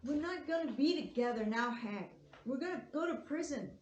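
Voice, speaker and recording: Woman playing scheming female accomplice, highish voice, sarcastic, scornful, roomy echo, drama table read. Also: lively intonation, moderate pace, darkish tone. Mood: angry, scornful. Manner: sarcastic, reproachful. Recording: roomy echo with faint background noise.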